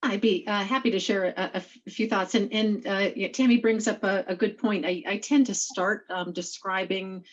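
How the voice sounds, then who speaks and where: A woman's voice: mid-range voice, fairly even intonation, normal speed, few pauses. Hesitant woman on dull lo-fi audio. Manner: hesitant, many fillers and restarts. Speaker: panelist. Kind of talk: panel talk.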